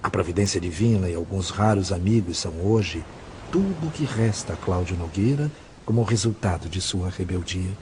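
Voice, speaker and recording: A low male voice, solemn and deliberate, portrays an actor's version of a grave, compassionate spirit mentor. It is eloquent Brazilian audio drama speech, with some noise and ambience behind it. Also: close to an everyday voice. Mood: grave, lamenting. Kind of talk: scripted audio drama monologue.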